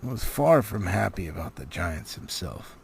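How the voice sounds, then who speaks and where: Low, creaky man narrating with dramatic swoops. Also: sing-song, normal speed, darkish tone. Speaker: audiobook reader. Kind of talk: expressive storybook narration, cold read.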